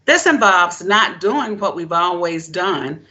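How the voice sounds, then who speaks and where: A woman giving a formal prepared keynote speech as a presenter, in deliberate, emphatic, measured phrases. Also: lowish voice, expressive, bright, forceful tone, muffled recording. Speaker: presenter, education keynote speaker.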